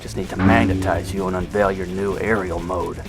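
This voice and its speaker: A cocky, improvising robot fighter in an audio drama , played by a man. He has a fairly low voice and a wry, self-narrating delivery, with clear music behind him. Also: little pitch movement, moderate pace. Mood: wry, defiant. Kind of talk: audio drama acting, inner monologue. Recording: music under the voice.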